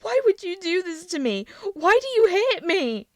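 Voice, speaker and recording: A woman voicing a desperate, shouting young prince. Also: on the high side, normal speed, clear, resonant tone, dry recording, studio quality, close to an everyday voice. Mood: anguished. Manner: shouting, pleading, tearful.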